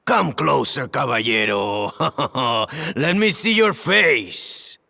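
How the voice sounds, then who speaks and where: Gravelly, proud old knight. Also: male voice, animated, takes it slowly, pushed and bright, in a large hall, a little hiss or hum, exaggerated voice. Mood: jovial, fond. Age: older. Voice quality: gravelly, husky. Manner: booming, chuckling, grandly theatrical. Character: proud, hearty, fiery old Spanish knight and father. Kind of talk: video game character acting.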